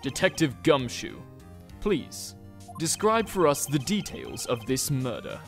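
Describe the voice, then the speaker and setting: A man playing a formal, self-assured courtroom prosecutor in a video game fandub, with a crisp manner. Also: mid-range voice, sing-song, a little slow. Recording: music behind the voice.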